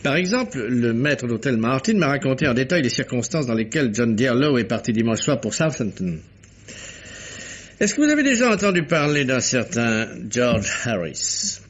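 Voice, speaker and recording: An actor playing a thoughtful, urbane detective, measured, ironic and courteous, in a vintage radio mystery. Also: male voice, mid-range voice, sing-song. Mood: explanatory. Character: thoughtful, wry male amateur detective, urbane and sharp. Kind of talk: mid-20th-century French radio mystery acting. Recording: muffled vintage radio recording.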